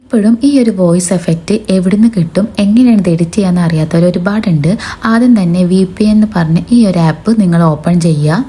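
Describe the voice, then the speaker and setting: A woman's voice: lowish voice, fast, dark tone, husky. A woman, hosting a tutorial and narrating it step by step. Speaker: video tutorial host.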